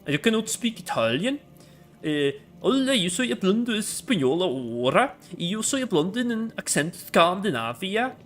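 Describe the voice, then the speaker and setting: A man's voice: big pitch swings, normal speed, a bit bright, faint music behind. A man doing a silly put-on Scandinavian accent. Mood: goofy. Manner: goofy, exaggerated, high and drawn out.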